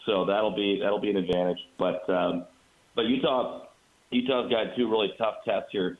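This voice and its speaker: A man's voice: fairly low pitch, fairly even intonation, moderate pace. An American man, a radio play-by-play announcer, giving conversational sports analysis as a guest over a remote call. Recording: muffled phone-like call.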